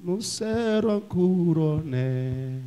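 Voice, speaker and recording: A man with a Congolese accent preaching a fervent sermon as pastor, in short emphatic phrases with pauses for an interpreter, often repeating key words. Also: high voice, animated, dark tone. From Kasai, DR Congo.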